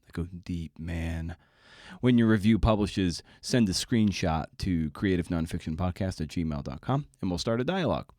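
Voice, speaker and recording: A man's voice: low-pitched, expressive, normal speed, warm tone, dry recording, studio quality. A man hosting a podcast in a casual, rambling monologue, with informal, slangy, self-deprecating phrasing. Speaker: podcast host.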